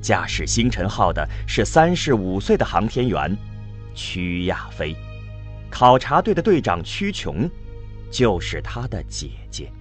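A man's voice: fairly low pitch, sing-song, a little slow, soft background music. A man giving solemn scripted narration as the narrator of a science fiction radio drama.

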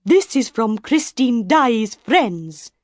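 A woman voicing a proud, vain female opera diva who declares loudly. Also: on the high side, very animated, slow, no echo, clean studio sound, pitched above the performer's own voice. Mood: haughty.

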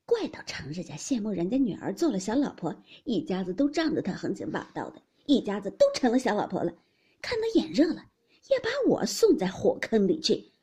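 A woman's voice: medium pitch, wildly swooping pitch, brisk, dark tone, husky, exaggerated voice. A woman voicing an angry young maidservant who scolds sharply and tearfully. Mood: furious.

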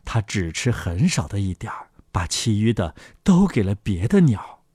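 Man telling children's stories with lively, swooping intonation. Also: lowish voice, moderate pace, slightly rough, no echo, studio quality. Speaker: children's story narrator and host. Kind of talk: expressive scripted fairy-tale narration.

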